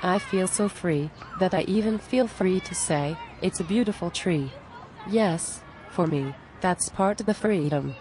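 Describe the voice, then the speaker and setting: A woman's voice: on the low side, a little slow, darkish tone, a faint crowd, lightly acted. A woman playing an exasperated, plain-spoken friend who pleads earnestly and reproachfully in scripted cartoon dialogue.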